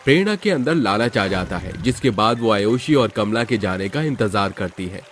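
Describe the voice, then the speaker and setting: A man's voice: lowish voice, expressive, moderate pace, slight background noise. A man narrating a scripted moral story as storyteller.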